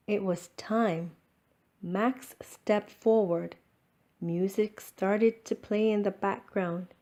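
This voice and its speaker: Mellow-voiced woman reading a children's story. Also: mid-range voice. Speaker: storybook reader. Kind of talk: children's story read-aloud, scripted narration.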